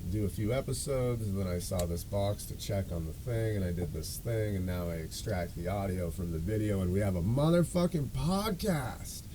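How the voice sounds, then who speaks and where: A man's voice: on the low side, animated, normal speed, few pauses, noisy background. A man hosting a podcast, telling a story in a casual, rambling, self-deprecating way with loose swearing. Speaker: podcast and show host.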